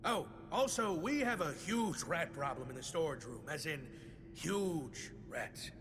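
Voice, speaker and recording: A man's voice: fairly high pitch, animated, unhurried, long reverb tail, background noise. An actor playing a jumpy male vault resident who talks in a rattled way.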